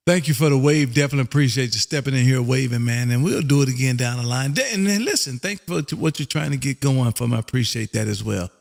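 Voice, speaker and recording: An exuberant, folksy American man with a gravelly voice, chatting casually on air as a ham radio host and repeating his catchphrases. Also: medium pitch, lively intonation, fast talker, few pauses, darkish tone. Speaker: amateur radio operator and livestream host.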